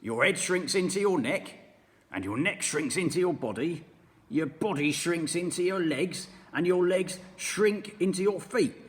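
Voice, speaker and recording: A man's voice: on the high side, expressive, unhurried, big-room sound, pitched above the performer's own voice. A man voicing a nasty old man who gloatingly taunts his wife in a storybook reading. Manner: taunting, mock-solemn.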